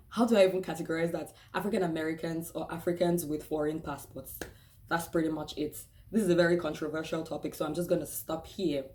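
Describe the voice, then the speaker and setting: A woman's voice: fairly low pitch, darkish tone. A woman with a Nigerian accent talking candidly in a casual vlog, with many "like"s and "um"s, laughing easily. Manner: chatty and candid, with frequent "like" and "um" and restarts; laughs easily and acts out quoted voices. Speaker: vlogger. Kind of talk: casual personal vlog talk.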